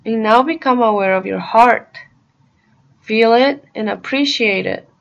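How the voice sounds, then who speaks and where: A woman narrating a guided meditation in a soothing, deliberate manner, pausing between short phrases. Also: on the high side, creaky, dull audio.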